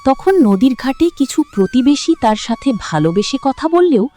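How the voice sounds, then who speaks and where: A woman's voice: high-pitched, sing-song, moderate pace, dark tone, faint music behind. A woman giving scripted narration as the storyteller of a cartoon tale.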